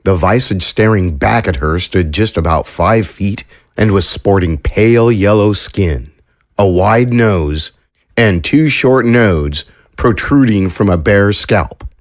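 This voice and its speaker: Low-voiced male narrator. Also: lively intonation, takes it slowly. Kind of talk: scripted audio drama narration.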